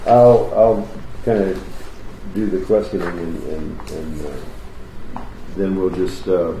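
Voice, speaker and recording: A man's voice: low voice, slow pace, mellow, echoey room, slight background noise, low-quality recording. A man conducting an oral history interview in a university office, talking with many fillers and restarts.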